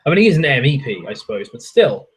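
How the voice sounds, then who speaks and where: A man's voice: mid-range voice, very animated, quick pace, husky, rough recording. A young man, chatting casually with viewers on a stream, ranting and swearing with frequent laughs. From England.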